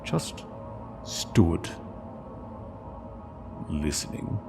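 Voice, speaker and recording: A low-voiced man narrates a solemn story at an unhurried pace. He pauses between phrases in a measured, dramatic delivery. Long reverb surrounds the voice. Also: very animated, gravelly. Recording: long reverb, music underneath. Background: music.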